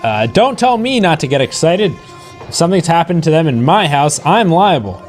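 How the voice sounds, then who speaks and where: An excitable, worried house seller , acted by a man, in an amateur radio play. His voice is fairly high and swings widely as he frets and questions, over faint music. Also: normal speed. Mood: agitated. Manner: agitated, questioning.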